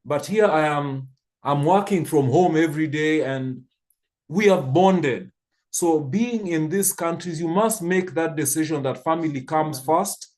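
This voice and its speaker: A man's voice: on the high side, measured pace, darkish tone. A man in his fifties from Kenya, telling stories thoughtfully with frequent restarts on a church panel. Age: 50s.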